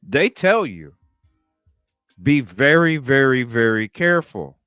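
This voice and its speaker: A man's voice: mid-range voice, big pitch swings, slow, a bit bright, clean tone, no echo, clean studio sound, faint music behind. A man hosting a livestream with casual, speculative commentary, repeating phrases and asking rhetorical questions. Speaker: livestream host.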